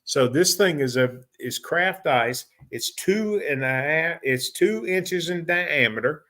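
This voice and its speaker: Halting, folksy male trainer. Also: a little high, animated, bright, forceful tone. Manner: folksy, halting, repeats and rephrases himself. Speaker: technical trainer for repair technicians. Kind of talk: informal training lecture.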